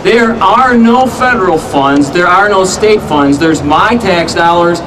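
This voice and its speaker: A man's voice: high-pitched, expressive, measured pace. A man speaking firmly and emphatically at an outdoor rally. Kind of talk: impassioned public speech. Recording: distant mic, loud crowd and street noise.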